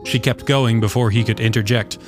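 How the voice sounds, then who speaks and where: A man's voice: medium pitch, fairly even intonation, brisk. A man reading scripted fiction as the narrator of a story. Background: soft guitar music.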